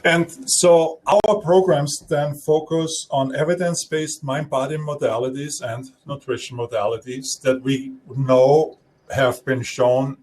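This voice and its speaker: Middle-aged austrian guy talking through his work, calm steady delivery, accent clear. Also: mid-range voice. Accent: Austrian German. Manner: explanatory, measured, some restarts. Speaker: guest speaker. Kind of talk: live-streamed talk.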